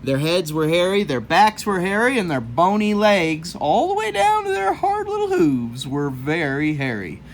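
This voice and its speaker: A man's voice: high-pitched, wildly swooping pitch, normal speed, few pauses, a little hiss or hum. A man reading a children's story aloud in a folksy, rambling way, breaking off for casual, jokey asides. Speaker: host of a children's read-aloud show.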